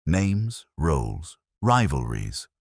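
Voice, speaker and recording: An imperious great detective played by a man, very deep in pitch and formal in diction. He issues orders in ornate, pedantic phrases, as in an audiobook mystery, with a crisp, clear delivery. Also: sing-song, slow pace, darkish tone, dry recording, studio quality, heavily acted.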